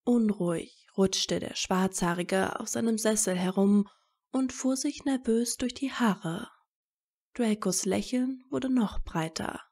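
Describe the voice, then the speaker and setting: Slow, mellow woman narrator with creaky edges. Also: medium pitch. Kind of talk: scripted audiobook narration.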